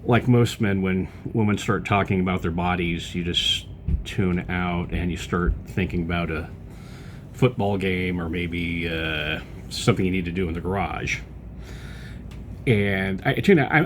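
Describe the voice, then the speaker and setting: A man's voice: low-pitched, a little hiss or hum. A man hosting his own podcast, delivering a loose political commentary monologue full of fillers and restarts. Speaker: podcast host.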